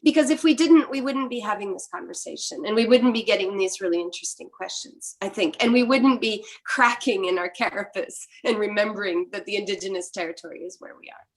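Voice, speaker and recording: A woman's voice: mid-range voice, brisk, few pauses, rough recording. A middle-aged woman from Quebec whose first language is French, speaking thoughtfully with many restarts in an academic panel discussion. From Quebec, Canada. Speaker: philosopher panelist.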